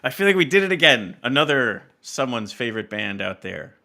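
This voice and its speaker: A man hosting a music podcast, talking casually and haltingly as he thinks aloud. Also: mid-range voice, big pitch swings, vocal fry, bright, forceful tone. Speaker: podcast host.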